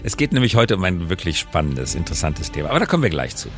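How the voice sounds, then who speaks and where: A man's voice: deep voice, sing-song, very fast, over music. A middle-aged man chatting casually as the co-host of a podcast. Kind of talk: casual conversational podcast talk.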